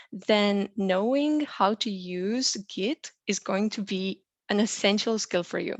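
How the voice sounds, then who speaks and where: A woman's voice: medium pitch, no echo. Woman explaining coding, dry sound. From Romania. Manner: clear explanatory delivery with frequent "uh" and "um". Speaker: software engineer teaching a lesson. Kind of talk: presenting a tutorial.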